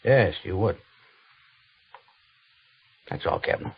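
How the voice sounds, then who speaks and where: An actor playing a curt, commanding cavalry major in an old radio drama, speaking with stern authority. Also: male voice, slow, a little hiss or hum, lightly acted. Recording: dull old radio recording.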